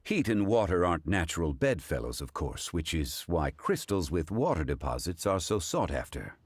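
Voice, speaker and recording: An actor playing a calm, learned expert in a video game who speaks in a measured, formal way. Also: male voice, deep voice, breathy edge, dry recording, heavily acted. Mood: composed. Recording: game audio with background music. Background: game music.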